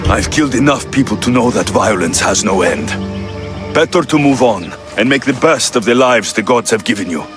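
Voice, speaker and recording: An actor playing a confident, compassionate Greek mercenary in a video game cutscene, speaking in a measured, direct way. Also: male voice, mid-range voice, lively intonation, over music, natural voice. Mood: calm, reassuring.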